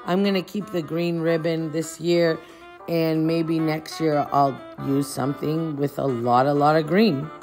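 A woman's voice: sits low, steady tone, unhurried, a little mellow, faint music behind. A woman, chatting and thinking aloud as she gives a casual decorating demonstration. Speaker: home decor vlogger. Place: furnished living room at home, indoors.

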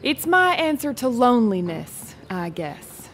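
Casting: wry, lonely small-town young woman for audio drama; terse, deflecting, highish and very varied pitch. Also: big pitch swings, unhurried, slight background noise. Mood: melancholy, guarded. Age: young adult. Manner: terse, deflecting, sardonic retorts. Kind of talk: audio play acting before a live audience.

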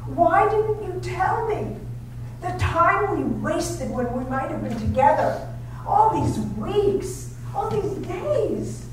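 Woman acting as a high-voiced, earnest young wife, slow and imploring, far from the mic in an echoey room. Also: animated, exaggerated voice. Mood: pleading. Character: shy, devoted young wife pleading with her husband. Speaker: amateur theater actor. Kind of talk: 1930s-style radio drama acting. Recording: distant echoey room with faint noise.

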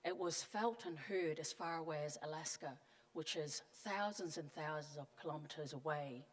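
A middle-aged woman from Tonga, giving a measured, earnest panel speech on stage into a handheld mic. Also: low-pitched. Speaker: panelist, member of parliament. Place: large conference hall on stage. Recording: long hall reverb.

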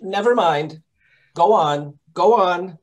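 A man playing a brisk, impatient detective who directs a rehearsal in an old radio drama style. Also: high-pitched. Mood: exasperated. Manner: commanding, exasperated. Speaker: actor. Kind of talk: 1930s radio acting.

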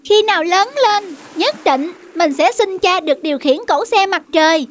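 A woman's voice: extremely high pitch, normal speed, a little hiss or hum. A woman voicing a stubborn, eager little boy in a myth cartoon, childlike and insistent. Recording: music behind.

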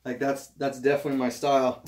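A man's voice: mid-range voice, flat delivery, fast, darkish tone. Young guy from utah chatting loose to camera, lots of ums, in a little home office, cam across the room. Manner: easygoing, rambling, many fillers and restarts. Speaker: vlogger. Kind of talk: casual try-on haul chat. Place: small home office, indoors.

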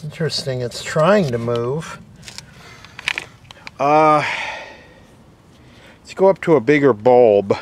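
A man giving casual, off-the-cuff narration of a repair job, halting as he thinks aloud. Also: medium pitch, animated, a little hiss or hum. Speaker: host, vintage radio repairer. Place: beside an old console radio being worked on.

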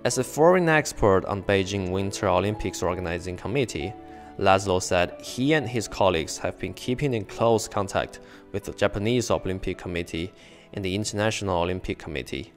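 A man reading scripted documentary voice-over narration in a measured, formal way. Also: deep voice, soft background music.

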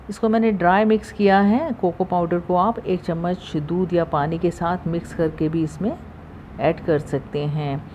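A woman hosting a cooking channel, calmly talking through the steps of a recipe in her home kitchen, recorded on a phone. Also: fairly low pitch, a little hiss or hum. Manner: calm, instructive, repeats steps. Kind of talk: step-by-step recipe voice-over.

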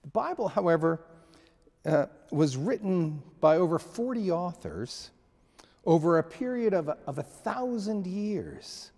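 Slow, lively-voiced older preacher in a reverberant church. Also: male voice, high-pitched. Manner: slow, measured preaching with many restarts and emphatic pauses. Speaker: pastor. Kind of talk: sermon. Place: church sanctuary, indoors.

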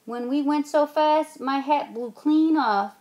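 A woman's voice: high-pitched, normal speed, darkish tone, voice pushed up, lightly acted. A woman reading aloud voices a mischievous old aunt who crows her story in a folksy drawl. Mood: crowing.

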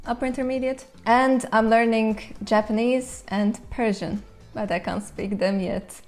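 A woman's voice: mid-range voice, darkish tone. Young Latvian woman answering questions casually. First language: Russian. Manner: thoughtful, light laughs, reads out fan questions. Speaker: language channel host. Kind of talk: casual Q&A to camera. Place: bright room indoors, seated in an armchair. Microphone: lapel mic.

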